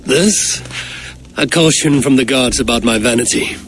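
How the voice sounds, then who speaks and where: An actor playing a blunt, self-assured Viking warrior in a video game drama. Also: male voice, medium pitch, measured pace, a bit bright, soft background music, close to an everyday voice. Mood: calm, reflective.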